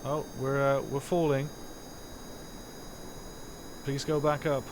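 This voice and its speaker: A man's voice: medium pitch, little pitch movement, slow pace, background noise. A man whose first language is Dutch, giving rambling, self-correcting live commentary while streaming a game from home.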